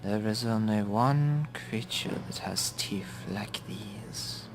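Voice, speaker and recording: An actor playing a polite, sinister male museum expert in a noir radio play, formal and deliberate with eerie hushed tones. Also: lowish voice, soft background music. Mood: sinister, assured.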